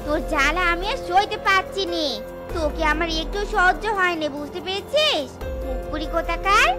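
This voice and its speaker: A woman voicing a cruel, scheming, sharp-tongued aunt in a cartoon tale, with dramatic scolding delivery. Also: very high-pitched, animated, moderate pace, over loud music. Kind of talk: exaggerated cartoon voice acting.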